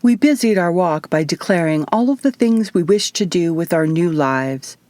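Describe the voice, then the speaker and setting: Female audiobook narrator, fairly low voice. Measured, expressive first-person storytelling, dry recording. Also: no room sound. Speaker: author reading own audiobook.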